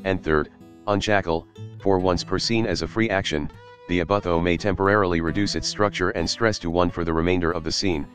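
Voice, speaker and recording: Male reviewer, very low voice, relaxed scripted delivery with the odd curse, faint music bed. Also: fairly quick. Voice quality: casual, swears for emphasis. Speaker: YouTube game reviewer. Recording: light guitar music behind.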